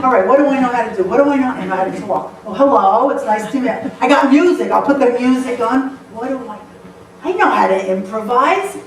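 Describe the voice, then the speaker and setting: A woman telling funny stories as a motivational speaker on stage, lively and playful, often laughing. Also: on the high side, brisk. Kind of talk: humorous storytelling talk to a live audience. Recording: echoey hall, mic far off, faint noise.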